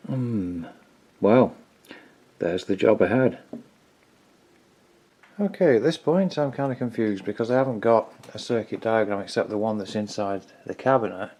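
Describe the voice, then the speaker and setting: A man's voice: fairly low pitch, expressive, moderate pace, pauses often, a little mellow. A man giving casual hands-on repair commentary, informally thinking aloud in a tentative way as he works. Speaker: hobbyist repairer presenting own video.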